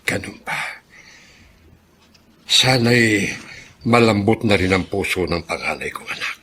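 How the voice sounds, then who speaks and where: A man's voice: lowish voice, animated, slow pace, bright, forceful tone, slight background noise, full character voice. An actor playing a remorseful, humble elderly father in a Filipino radio drama, with a Filipino accent. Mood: wistful, gentle.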